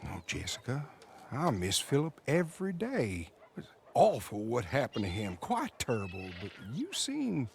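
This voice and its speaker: A man's voice: medium pitch, huge pitch swings, measured pace, vocal fry, slight background noise, full character voice. An actor playing a smug, slippery Old West businessman in a game cutscene, pompous and unctuous with false courtesy. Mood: oily condolence. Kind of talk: dramatic video game cutscene acting.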